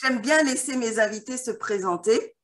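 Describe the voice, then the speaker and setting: A woman's voice: fairly high pitch, moderate pace. A woman hosting an interview, opening the show with formal, welcoming introductions. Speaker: interview host. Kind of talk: hosted interview.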